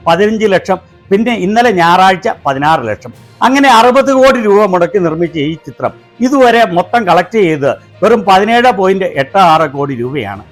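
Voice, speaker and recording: Casting: male Malayali commentary host, high voice with animated intonation, scripted critical delivery over faint music.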